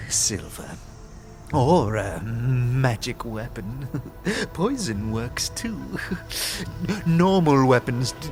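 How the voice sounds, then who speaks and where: A man voicing a chittering dead wererat skull in a fantasy audio drama, stuttering and giggling nervously. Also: medium pitch. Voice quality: chittering, creaky.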